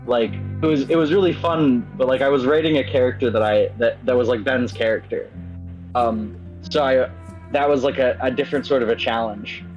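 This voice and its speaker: A man who writes games, chatting casually in an interview, hesitant and rambling with frequent stammers. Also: medium pitch. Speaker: game writer. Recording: slightly dull lo-fi mic. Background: background music.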